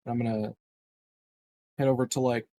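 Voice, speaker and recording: A man's voice: medium pitch, little pitch movement, moderate pace, warm tone, a little hiss or hum. A man playing a tabletop game, talking casually and hesitantly as he works out his move.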